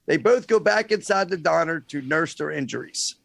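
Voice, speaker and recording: A man reading scripted stage directions as a show's sidekick, with a gravelly, raspy voice. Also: fairly high pitch, animated, moderate pace, a little hiss or hum. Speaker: sidekick host reading narration and bit parts.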